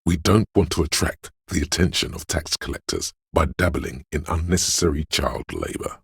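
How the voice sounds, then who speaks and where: A man playing a swaggering, money-obsessed, suave inner voice in a video game, gravelly and husky, with a cocky, theatrical delivery. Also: extremely low pitch, moderate pace, no echo, heavily acted. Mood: smug, scornful. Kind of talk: video game voice acting, dramatic narration.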